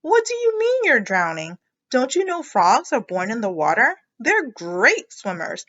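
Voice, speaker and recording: A woman voicing a brisk, bossy mother frog from a storybook, calling out emphatically to encourage and scold. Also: a little high, wildly swooping pitch, fairly bright tone, muffled recording, exaggerated voice. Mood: exasperated.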